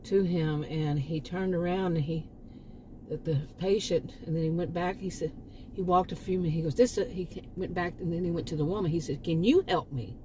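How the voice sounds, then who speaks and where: A woman's voice: on the low side, a little fast, mellow, slight background noise, poor audio. A woman from Texas with a Texan accent, talking casually to her channel and rambling through restarts and asides. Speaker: channel host. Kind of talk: casual monologue.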